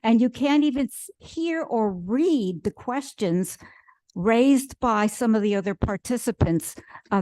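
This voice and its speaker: A woman testifies before a committee in a measured, formal manner, laying out details point by point with small hesitations. Her mid-range voice sounds dry and close at a podium microphone. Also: medium pitch, a little mellow, no room sound, rough recording. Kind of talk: public testimony. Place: legislative hearing room.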